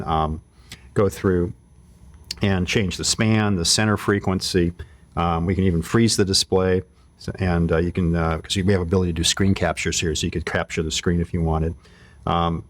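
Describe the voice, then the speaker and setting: A man giving an informal hands-on product demonstration, explaining things step by step and thinking aloud as he goes. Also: low voice. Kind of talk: casual hands-on product demo.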